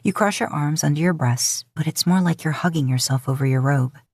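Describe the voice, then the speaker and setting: A woman's voice: deep voice, brisk, darkish tone, no room sound. A woman narrating scripted romantic fiction as an audiobook-style storyteller, recorded close to the mic.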